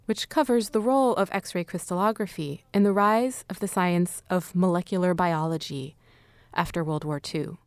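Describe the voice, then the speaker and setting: A woman's voice: lowish voice, normal speed, clean tone, no echo. A woman hosting and narrating a scripted audio documentary. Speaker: podcast host and narrator.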